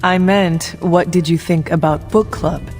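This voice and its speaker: A man's voice: on the high side, steady tone, unhurried, a little mellow, lightly acted. A man playing a reserved, resurrected demon slayer in a video game, speaking in formal, stiff phrasing with music behind. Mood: formal, curious. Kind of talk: video game cutscene acting. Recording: background music under the voice.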